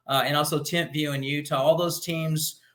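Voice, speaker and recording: Male sports guest, higher-pitched and forceful, measured and analytical in casual football conversation. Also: steady tone. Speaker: guest, local sports network game broadcaster.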